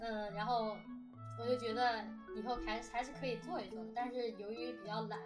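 A woman's voice: fairly high pitch, steady tone, fairly quick, far from the mic. A woman rambling casually and self-deprecatingly as she talks about producing audio drama. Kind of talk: casual behind-the-scenes chat. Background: music.

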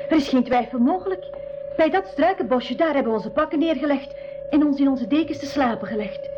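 A woman's voice: sits high, animated, a little fast, dull audio, background noise. A woman playing an earnest young refugee girl in a school radio drama. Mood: earnest, certain. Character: Ximing, earnest young refugee girl searching for her family. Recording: band-limited radio drama, music behind.

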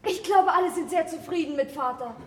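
A woman's voice: very high voice, fairly quick, a bit bright. A woman playing an astronomer's eager, naive young daughter in a German radio play. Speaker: radio drama actor. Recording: distant, roomy, faintly noisy radio play recording.

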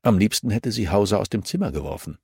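A man reading scripted crime fiction as an audiobook narrator, in a measured, clearly articulated way. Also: lowish voice, animated, a little mellow, no echo, clean studio sound.

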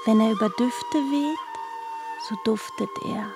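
A woman's voice: on the high side, animated, measured pace. A woman reciting fairy-tale passages in a radio play, in a lilting storyteller's manner. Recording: music under the voice.

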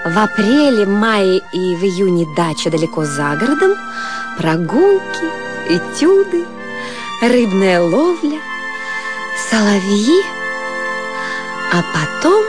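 Slow, rapturous young wife. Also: female voice, mid-range voice. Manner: rapturous, savouring each phrase. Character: dreamy, rapturous young society wife with artistic airs. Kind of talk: radio drama acting. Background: music.

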